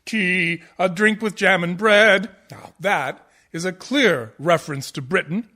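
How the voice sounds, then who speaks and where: An actor playing a pompous, condescending theatre professor who lectures pedantically in a comic radio play. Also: male voice, high-pitched, unhurried, pushed and bright. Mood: smug, explaining. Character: pompous, condescending male musical-theatre professor, absurdly over-analytical. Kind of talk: comic radio play acting as interview guest.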